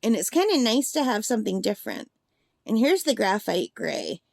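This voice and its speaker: A woman with a slightly gravelly voice chatting and gushing as she swatches paints in a casual demo at her craft table. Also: on the high side, very animated, moderate pace, a little mellow, no echo, clean studio sound. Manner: chatty and gushing, thinks aloud. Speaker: art supply reviewer.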